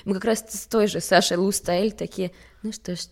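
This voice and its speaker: A woman's voice: mid-range voice, steady tone, normal speed, gravelly, darkish tone. A young woman from Sweden, a model and interview guest, talking candidly and self-mockingly in a casual rambling way. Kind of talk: casual chat.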